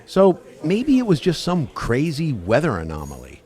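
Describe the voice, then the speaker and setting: An actor playing a brash, skeptical, wisecracking buddy in a radio play, cajoling in colloquial speech. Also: male voice, a little high, wildly swooping pitch, normal speed, darkish tone. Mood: skeptical, dismissive.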